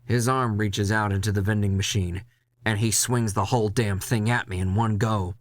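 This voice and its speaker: A man reading a dramatic first-person horror story in a measured, intense way, with music behind him. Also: lowish voice, fairly even intonation.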